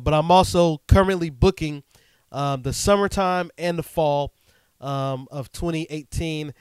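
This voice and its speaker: Male podcast host and DJ, a little stuffed up, slightly high voice, smooth and dry, relaxed chatty delivery. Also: no room sound. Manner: relaxed and chatty, frequent "um" and "man". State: stuffed-up nose. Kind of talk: casual solo podcast talk. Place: studio.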